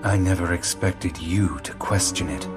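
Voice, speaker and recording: A man voicing a cold, calculating senior member of an evil organization in a video game, speaking in a measured, cutting way. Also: deep voice, music in the background. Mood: cold, probing.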